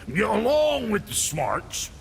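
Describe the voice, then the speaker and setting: A man playing a crude, cranky dwarf blacksmith in a video game, sarcastic and irritable, with a creaky voice. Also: high voice, wildly swooping pitch, slow, exaggerated voice. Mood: sarcastic, grumbling. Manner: sarcastic, irritable, colourful insults. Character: crude, cranky, foul-mouthed dwarf blacksmith, grudgingly helpful. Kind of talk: video game cutscene acting. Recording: reverberant space, faint background noise.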